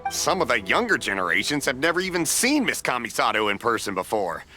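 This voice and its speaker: An actor playing a friendly, generous middle-aged stallkeeper in a video game, with a hearty, folksy manner. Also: male voice, medium pitch, big pitch swings, brisk, sharp tone, faint music behind. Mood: jovial, admiring. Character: friendly, generous older festival stallkeeper, man.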